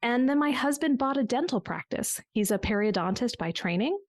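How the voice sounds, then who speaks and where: A woman's voice: fairly high pitch, expressive, a little fast, no room sound. A woman in her forties, a business coach guest on a podcast, telling her story in a reflective way with small restarts. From United States. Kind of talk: conversational podcast interview.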